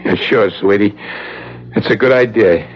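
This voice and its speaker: A man's voice: lowish voice, unhurried. An actor playing a paranoid, hot-tempered American man on the run, talking tough street slang in an old-time radio drama. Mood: brooding, scheming. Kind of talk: old-time radio drama acting, first-person narration. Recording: dull old radio recording with dramatic music. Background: dramatic music.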